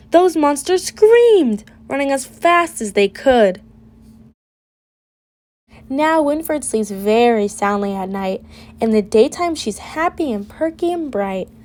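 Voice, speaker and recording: A woman reading a children's picture book aloud with an expressive, deliberate delivery. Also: high-pitched. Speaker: storybook reader.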